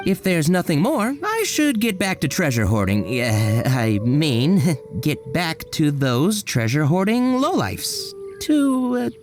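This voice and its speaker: A slippery underworld informant in a game. He sounds evasive and bumbling, with animated pitch and hesitant backtracking over faint music. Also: slow, few pauses. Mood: flustered. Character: shifty male thief informant, evasive and bumbling. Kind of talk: video game voice acting.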